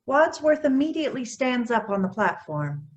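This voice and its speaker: Casting: female narrator, slightly high voice, reading scripted stage directions. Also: sing-song, normal speed, highs cut off.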